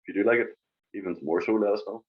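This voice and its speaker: A man's voice: fairly low pitch, fast talker, lo-fi sound. A Northern Irish man co-hosting a music podcast in casual chat, often repeating phrases for emphasis. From Northern Ireland.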